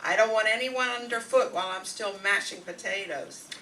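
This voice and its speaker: A woman playing a brisk, managing housewife in an old-time radio sitcom, persuasive and take-charge. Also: mid-range voice, pushed and bright, distant mic, a little hiss or hum, lightly acted, low-quality recording. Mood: coaxing.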